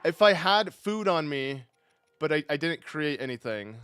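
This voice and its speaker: A man's voice: a little high, very animated, unhurried, fairly bright tone, smooth, dry recording. A young man casually commenting on a live game stream, rambling and correcting himself with little chuckles into a desk microphone.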